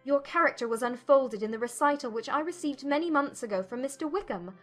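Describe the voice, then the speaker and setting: An actress playing a spirited, indignant young gentlewoman in a dramatised audiobook, with formal, emphatic diction. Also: sits high, fairly quick, soft background music. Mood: cold accusation.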